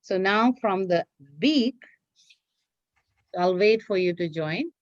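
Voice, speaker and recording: Female craft teacher for kids, unhurried and patient, repeats each step; dry, slightly dull lo-fi sound. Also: mid-range voice, expressive, no room sound. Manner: patient, repetitive, checks with listeners. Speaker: teacher leading a children's craft class. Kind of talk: step-by-step craft instruction for children.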